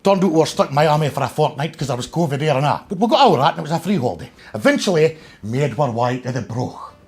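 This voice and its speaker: A man's voice: a little high, expressive, quick pace, husky. A man playing a chatty, cheeky middle-aged pantomime dame, gossiping in an exaggerated way with a North-East Scottish Doric accent. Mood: gossipy, mock-indignant. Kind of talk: comic pantomime monologue to the audience.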